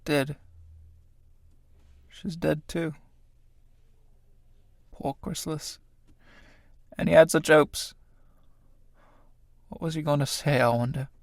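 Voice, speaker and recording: A man playing a battered old male tramp who talks in rough, colloquial speech. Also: on the high side, moderate pace, long pauses, no room sound, studio quality, natural voice. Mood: musing. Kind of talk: radio play acting.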